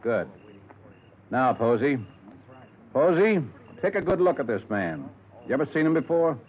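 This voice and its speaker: An actor playing a tough, weary middle-aged small-town police lieutenant in a 1950s radio detective drama, gruff and commanding with clipped questions. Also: male voice, mid-range voice, sing-song, a little slow. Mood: brisk, commanding. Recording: 1950s radio broadcast, thin phone-like band.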